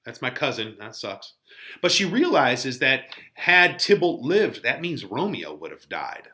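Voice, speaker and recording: A man's voice: medium pitch, big pitch swings, measured pace, pushed and bright. A man teaching in a conversational, informal way, giving casual commentary on literature.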